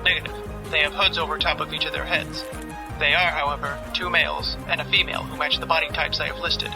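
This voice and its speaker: Actor as male tactical observer, highish even pitch, formal, emotionless, precise, music behind. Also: fast, vocal fry. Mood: detached, precise. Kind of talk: science fiction audio drama acting.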